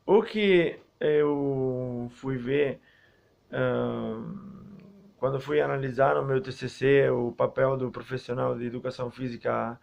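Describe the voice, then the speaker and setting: A man's voice: mid-range voice, steady tone, slow, creaky, a little mellow. A young Brazilian man with a Brazilian Portuguese accent, giving a recorded academic talk on physical education and health, hesitant, with many fillers and restarts. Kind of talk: recorded talk for a student group. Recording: dull lo-fi recording.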